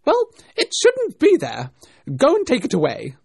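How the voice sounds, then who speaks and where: Storybook rabbit: male, bossy, irate, shrill and loud, barking orders. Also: wildly swooping pitch, a little slow, gravelly, no room sound, studio quality, full character voice. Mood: angry, bossy. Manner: imperious, scolding shouts.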